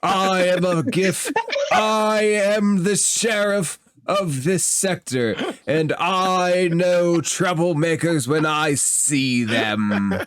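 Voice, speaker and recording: A man voicing a pompous, indignant hippo-like alien sheriff with a stern, drawn-out delivery in a tabletop game. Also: high voice, fairly bright tone, clean tone, no room sound, pitched above the performer's own voice, full character voice.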